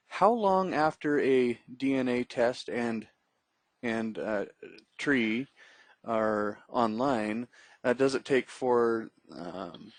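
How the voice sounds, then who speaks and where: A man's voice: on the low side, dry recording. A man hosting an online webinar, reading out viewer questions and wrapping up the session. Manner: reads out viewer questions, friendly sign-off lines. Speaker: webinar host.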